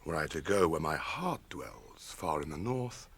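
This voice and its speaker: A man's voice: rumbling low, lively intonation, unhurried, fairly bright tone, slightly rough, dry recording, exaggerated voice. An actor playing a stern, resolute noble ranger lord in a radio drama, speaking formally and declaiming his lines. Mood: grave, gentle. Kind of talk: classic radio drama acting.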